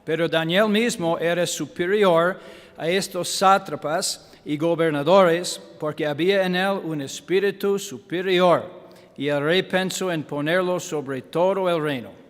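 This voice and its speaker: A man with an American accent, a native English speaker, preaching in a church in halting Spanish with English fillers and self-deprecating humor. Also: fairly high pitch, in a large hall. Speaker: guest pastor. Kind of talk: sermon with Bible reading. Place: church sanctuary.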